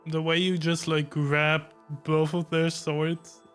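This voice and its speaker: Casting: laid-back male game streamer, slow casual delivery, music low in background. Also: flat delivery, fairly bright tone. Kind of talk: casual game reaction commentary.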